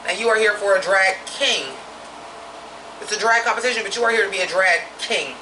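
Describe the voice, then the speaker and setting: A woman's voice: fairly quick, echoey room, distant mic, noisy background. Blunt, profane woman reviewing drag. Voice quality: naturally deep voice. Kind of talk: casual review vlog.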